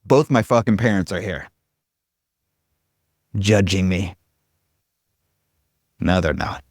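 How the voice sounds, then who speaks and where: A man's voice: low voice, takes it slowly, dry recording, studio quality. A man giving an informal spiritual talk to a group as a meditation teacher, rambling and irreverent, with casual, self-deprecating humor. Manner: irreverent, casual, frequent swearing.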